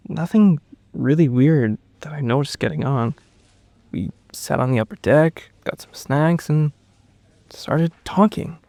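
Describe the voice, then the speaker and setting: A man's voice: a little high, vocal fry, a little mellow, no echo. A man playing a confused, shaken survivor under questioning in a naturalistic audio drama, hesitant and stammering as he speaks. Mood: uneasy, recalling.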